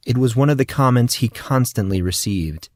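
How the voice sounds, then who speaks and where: A man's voice: medium pitch, animated, normal speed, no echo, clean studio sound. A man narrating scripted fiction as an audiobook storyteller.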